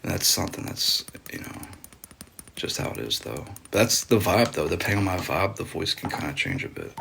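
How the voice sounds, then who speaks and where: Laid-back young man, deep gravelly voice. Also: very animated, fairly quick, fairly bright tone, a little hiss or hum. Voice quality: describes his voice as medium deep, sometimes deeper. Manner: laid-back slangy talk, thanks donors between whispered asides. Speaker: ASMR live streamer. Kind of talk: casual live-stream chat with viewers.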